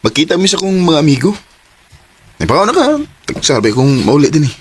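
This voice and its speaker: A man's voice: on the high side, expressive, brisk, breathy edge. A man playing an easygoing, sweet-talking young boyfriend in a radio drama. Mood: casual, coaxing.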